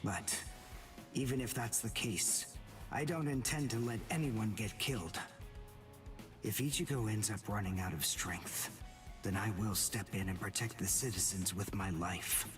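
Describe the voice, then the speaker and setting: A man's voice: fairly low pitch, a little slow, recorded from a distance, lightly acted. A man playing a cool, proud teenage warrior in an English anime game dub, with a formal, precise, haughty manner. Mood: cool, resolute. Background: loud game music.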